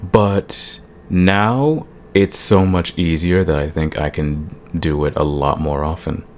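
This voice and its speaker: A man's voice: steady tone, slow pace. A man hosting his audio channel, giving a casual update straight to his listeners. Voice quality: very deep, gravelly. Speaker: audio roleplay creator, channel host. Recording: clear background hiss.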